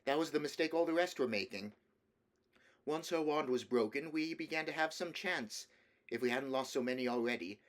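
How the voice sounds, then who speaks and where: Storybook eldest brother, played by a man: boyish higher voice, earnest, urgent, emphatic. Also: moderate pace, lightly acted. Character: earnest, stern boy, eldest brother, in a war tale.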